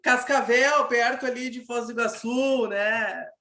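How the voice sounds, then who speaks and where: A man's voice: extremely high pitch, fairly even intonation, unhurried, crowd noise. A Brazilian man hosting a casual interview, speaking in an informal, slangy and encouraging way. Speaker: podcast host, interviewer. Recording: echoey room.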